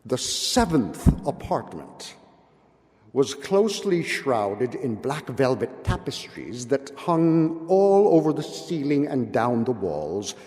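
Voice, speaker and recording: A man reads a gothic tale aloud as a dramatic narrator, with measured, deliberate phrasing and weighty pauses. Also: mid-range voice, sing-song, a little mellow. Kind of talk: theatrical dramatic literary reading of gothic fiction. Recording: long reverberant hall echo.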